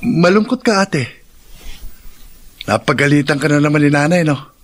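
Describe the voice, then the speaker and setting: An actor playing a caring, hardworking schoolboy brother in a Filipino radio drama, conversational and reassuring. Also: male voice, on the high side, expressive, moderate pace, lightly acted. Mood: sympathetic.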